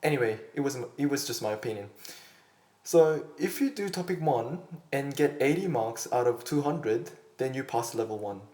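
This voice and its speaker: Man, teacher, steady explanatory delivery, roomy echo. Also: medium pitch, normal speed. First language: Korean. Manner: steady, explanatory, addresses viewers directly. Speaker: Korean language teacher. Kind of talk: scripted online lesson.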